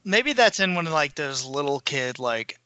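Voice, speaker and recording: Bright, forceful higher-voiced man chatting. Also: sing-song, normal speed, clean tone, no echo, lo-fi sound. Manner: informal, conversational. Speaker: podcast co-host. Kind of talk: casual fan discussion.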